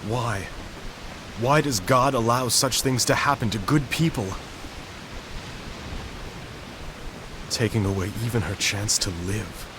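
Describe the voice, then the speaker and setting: An actor playing an ailing young male composer in a game cutscene, speaking softly and reflectively. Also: mid-range voice, moderate pace, lightly acted. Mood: grieving. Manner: soft, reflective, searching delivery. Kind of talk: video game cutscene dialogue. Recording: hissy game audio capture.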